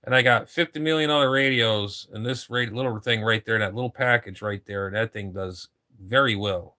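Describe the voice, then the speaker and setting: Give me a man in his fifties chatting over ham radio, rambling, with a bright, forceful tone. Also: fairly low pitch, moderate pace. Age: 50s. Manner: rambling, swears casually, repeats words. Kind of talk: casual radio chat.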